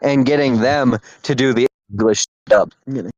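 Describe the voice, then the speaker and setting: A man's voice: mid-range voice, measured pace, a little mellow, dry recording, highs cut off. A man hosting a casual podcast, rambling and repeating himself with lots of fillers. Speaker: podcast host.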